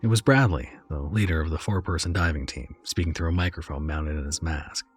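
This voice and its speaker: Deep-voiced male horror narrator, very husky and breathy, faint music behind. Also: brisk. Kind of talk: scripted first-person horror narration.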